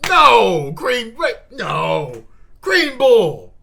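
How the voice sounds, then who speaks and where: A host giving an animated, slangy live reaction in casual commentary, repeating words and exclaiming. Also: medium pitch, very slow, creaky. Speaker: host of a manga reaction channel.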